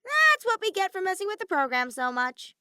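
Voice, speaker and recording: A woman's voice: very high voice, big pitch swings, brisk, bright, forceful tone, dry recording, clean studio sound, voice pushed up, heavily acted. A woman voicing a mischievous, flippant robotic bear villain in a dramatic audiobook reading, theatrical, grumbling and cartoonish. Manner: matter-of-fact, theatrical, grumbling.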